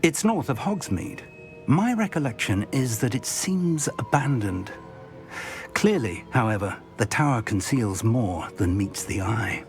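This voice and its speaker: Expressive, cautious older professor. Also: male voice, mid-range voice, a little slow, faint music behind. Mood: thoughtful. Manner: articulate, considered, courteous. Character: cautious, protective older male professor of magic. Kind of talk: scripted video game cutscene acting.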